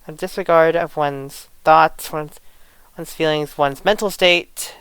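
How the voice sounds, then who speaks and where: Halting, indignant speaker with a slow delivery. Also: medium pitch, fairly even intonation, a bit bright, clear, resonant tone, no echo, poor audio. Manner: halting, repeats and restarts phrases often; indignant, exasperated. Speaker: online video creator venting grievances. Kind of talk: unscripted rant, commentary.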